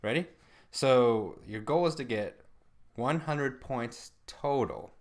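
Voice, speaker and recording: A man's voice: medium pitch, slow pace. A man co-hosting a podcast, talking informally and slangily in casual banter with a friend. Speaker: podcast co-host.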